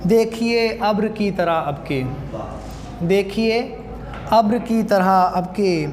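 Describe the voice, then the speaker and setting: Through a roomy, echoing hall and noticeable noise, a man recites poetry. His pitch is high for a man. He delivers each line in measured, declamatory phrases. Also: darkish tone. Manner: measured, repeating lines declamatorily. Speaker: student. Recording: very echoey hall, clear background noise.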